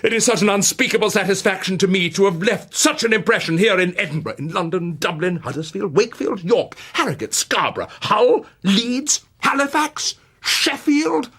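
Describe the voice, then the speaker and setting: A man acts out a Victorian author holding forth on stage, intense and hypnotic. The delivery is theatrical and commanding, with wide swings of pitch and a husky edge. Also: lively intonation, normal speed, hardly any pauses, fairly bright tone, full character voice. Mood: elated. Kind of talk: dramatic monologue in a one-man biographical show.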